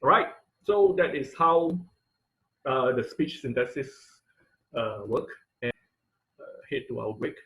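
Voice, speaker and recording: Halting male online tech instructor. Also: mid-range voice, expressive, a bit bright, rough recording. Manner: halting, rephrasing mid-sentence. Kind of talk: live online coding tutorial, unscripted. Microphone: computer mic on an online class call.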